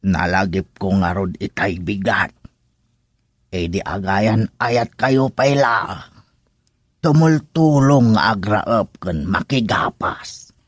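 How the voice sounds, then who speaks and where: A man acting a gentle older farmer father with a husky voice in an Ilocano radio drama. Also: fairly low pitch, slow pace, no echo, clean studio sound. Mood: concerned.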